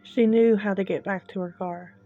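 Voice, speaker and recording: A woman narrating a scripted horror story in a slow, hushed, suspenseful manner with long deliberate pauses. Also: mid-range voice, fairly even intonation. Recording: dull lo-fi, music bed behind. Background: background music.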